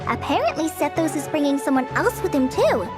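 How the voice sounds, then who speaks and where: A woman voicing a modest, friendly young female forest ranger with soft nervous laughs in a game dub. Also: sits high, sing-song, a little fast. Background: game music.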